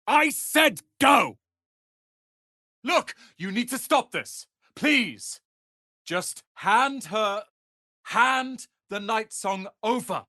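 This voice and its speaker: A man's voice: high voice, expressive, very slow, bright, forceful tone, dry recording, studio quality, exaggerated voice. A man voicing a haughty, sardonic young wizard's apprentice in a video game, with a pompous, theatrical delivery. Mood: desperate. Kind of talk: dramatic video game voice acting.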